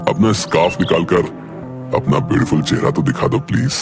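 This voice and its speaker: A man's voice: low voice, expressive, a little fast, creaky, music in the background. A man playing a brash, smitten young college student in a cartoon story. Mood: smitten, insistent.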